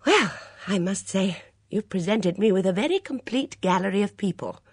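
A woman voicing a lively, blunt English vicar's wife in an audiobook, eager, affectionate and emphatic. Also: mid-range voice, normal speed, slightly rough, dry recording, studio quality, pitched above the performer's own voice. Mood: earnest.

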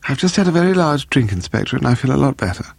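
A man's voice: mid-range voice, very animated, very fast, creaky, slightly rough, dry recording, pitched above the performer's own voice, exaggerated voice. A man voicing a spirited, forthright wife of a detective in an audiobook mystery. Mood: composed. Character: spirited, sharp-tongued wife of a sleuth.

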